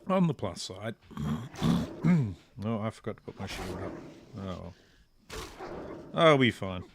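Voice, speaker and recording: A man giving relaxed, rambling, self-deprecating commentary while he plays a game. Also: on the low side, slow, gravelly, background noise. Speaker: gameplay streamer. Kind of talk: casual gameplay commentary.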